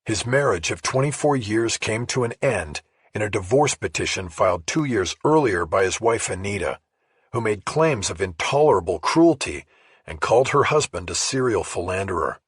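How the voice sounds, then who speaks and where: A man's voice: fairly low pitch, lively intonation, normal speed, breathy edge, dry recording, clean studio sound. A man reading a first-person crime thriller audiobook as scripted narration.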